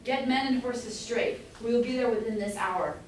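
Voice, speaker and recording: A woman's voice: fairly high pitch, moderate pace, lightly acted. A woman playing an indignant, lamenting old merchant father in an amateur staged reading. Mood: aggrieved. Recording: roomy, distant mic, faint noise.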